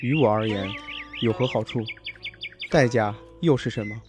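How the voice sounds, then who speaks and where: A man's voice: medium pitch, over music, close to an everyday voice. A man voicing a composed young valley lord. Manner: measured, composed, slightly aloof. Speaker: voice actor. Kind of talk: Chinese audio drama acting and narration.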